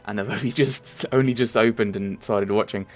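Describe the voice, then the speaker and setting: A man's voice: fairly low pitch, fairly even intonation, moderate pace. A young man from Merseyside answering viewer questions in a casual, rambling voice-over, with many ums and self-corrections. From Merseyside, England. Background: faint game music.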